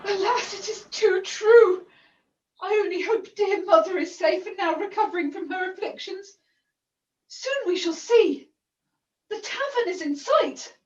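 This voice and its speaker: A woman's voice: extremely high pitch, measured pace, darkish tone. A woman acting a melodramatic young runaway maid in a pantomime, speaking in florid, plaintive old-fashioned phrases. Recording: echoey distant muffled video-call audio.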